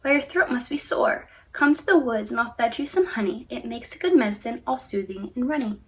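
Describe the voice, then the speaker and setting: A woman's voice: on the high side, animated, a little fast, distant mic. A woman voicing a kind, eager young boy knight who fusses over a creature he thinks is hurt, in a storybook. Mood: fussing. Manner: eager, fussing, caring.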